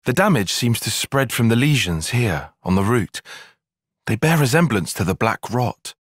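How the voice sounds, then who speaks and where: A young military strategist for a video game cutscene. He is guarded and bookish, choosing exact, formal words with dry sarcasm. His pitch moves in a lively way, the voice is slightly husky, and the recording is close and dry. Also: moderate pace, no room sound. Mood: analytical, measured. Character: brainy, guarded young male soldier-tactician, prickly and analytical.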